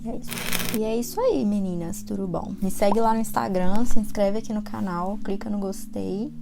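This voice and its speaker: A woman's voice: medium pitch, brisk, gravelly, noisy background. A young Brazilian woman with a Brazilian Portuguese accent, vlogging in a chatty, slangy way straight to her viewers. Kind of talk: casual vlog talk to camera. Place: lives in Ireland, home recording.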